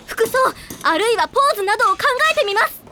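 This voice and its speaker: A woman playing a timid, self-deprecating high school girl in an anime audio drama, anxious and earnest. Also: expressive, fast talker, bright, forceful tone, a little hiss or hum, heavily acted. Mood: tearful gratitude.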